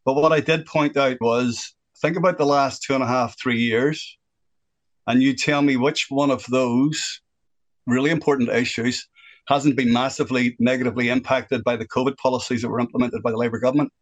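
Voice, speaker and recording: A man's voice: medium pitch, steady tone, brisk, poor audio. A man in his sixties with a Northern Irish accent, a guest on a phone-in radio chat, speaking earnestly and at length about what bothers him. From Northern Ireland. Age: 60s.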